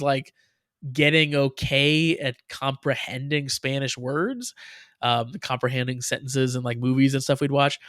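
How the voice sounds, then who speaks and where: A man's voice: mid-range voice, fairly bright tone, no room sound. Chatty American guy, dry close mic. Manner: rambling, filler-heavy, laughs often. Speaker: podcast co-host. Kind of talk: casual chat.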